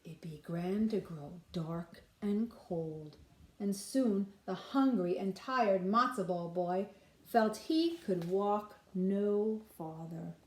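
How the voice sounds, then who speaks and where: A woman reading a children's storybook aloud like a nursery teacher, pausing to put simple questions to listeners. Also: mid-range voice, animated, darkish tone, echoey room, rough recording.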